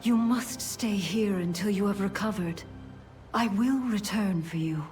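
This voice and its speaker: A woman playing a solemn, authoritative winged paragon in a fantasy game, speaking in a measured, formal way. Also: mid-range voice, a little mellow, lightly acted. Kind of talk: fantasy video game voice acting. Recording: game music behind the voice.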